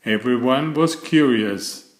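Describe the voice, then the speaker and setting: Male bedtime story narrator: unhurried pace, scripted read, mid-range pitch, audibly roomy, echoing recording. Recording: echoey room.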